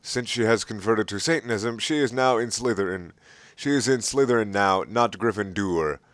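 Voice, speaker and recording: A man's voice: on the low side, moderate pace, dry recording. Theatrical man reading aloud, close and dry. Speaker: reader of a fan fiction story. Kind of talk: mocking dramatic reading of amateur fiction.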